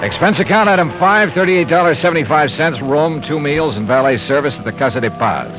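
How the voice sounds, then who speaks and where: A man's voice: fairly high pitch, a little fast, music in the background, close to an everyday voice. A man playing a wry, shrewd insurance investigator in a 1950s radio detective drama, narrating and talking in a clipped, hard-boiled way. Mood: wry, reflective. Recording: muffled, noisy old radio recording.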